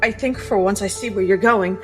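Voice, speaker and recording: A woman's voice: mid-range voice, brisk, over music, close to an everyday voice. A woman voicing a direct, urgent female adventurer in a casual tabletop roleplaying game, blunt and questioning. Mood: resolute.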